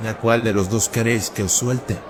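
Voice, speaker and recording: A stern Roman governor, voiced by a man, asks his questions in a measured, low voice. A loud crowd and heavy street noise surround him in this scripture radio play. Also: fairly even intonation, lightly acted. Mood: questioning.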